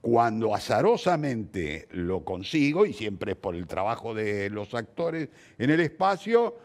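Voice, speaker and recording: A man's voice: fairly low pitch, sing-song, measured pace, a little mellow. An older man with an Argentine Spanish accent reflecting in an interview, repeating and rephrasing for emphasis. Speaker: interviewed theatre director and teacher.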